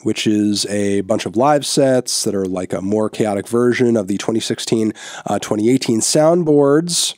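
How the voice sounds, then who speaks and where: A man's voice: lowish voice, normal speed, dry recording, clean studio sound. Stuffy-nosed man reviewing songs. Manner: relaxed, frequent filler words, blunt verdicts. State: stuffy nose, sick. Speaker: music reviewer host. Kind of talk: casual opinionated music review. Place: small indoor room, newly set up. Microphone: large studio microphone on boom in front of him.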